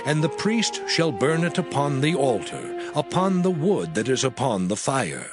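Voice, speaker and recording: A man giving a solemn, measured, dramatized Bible reading as an audiobook narrator. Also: mid-range voice, big pitch swings. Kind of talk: dramatized scripture reading, archaic English. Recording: prominent music under the voice. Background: music.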